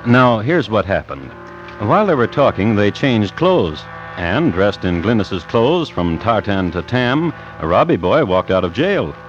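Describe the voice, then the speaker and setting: An actor playing a deadpan, measured host who narrates dryly macabre crime tales on 1950s radio. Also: male voice, on the low side, faint music behind. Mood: wry, deliberate. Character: storytelling true-crime host, wry and deliberate. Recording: muffled old radio recording.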